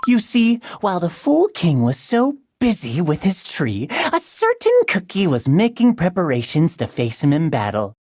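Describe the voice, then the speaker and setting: A woman's voice: lowish voice, very animated, normal speed, few pauses, darkish tone, no echo, studio quality. A woman playing a vain, theatrical trickster villain cookie in a game, narrating pompously and teasingly as if hosting a grand stage show. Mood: gloating. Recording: music behind the voice.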